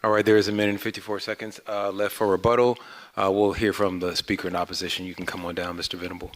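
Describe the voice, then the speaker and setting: Lowish-voiced guy running the council hearing, brisk, calls folks up. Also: little pitch movement. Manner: brisk procedural. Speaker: council meeting chair. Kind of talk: chairing a public hearing. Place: council chamber.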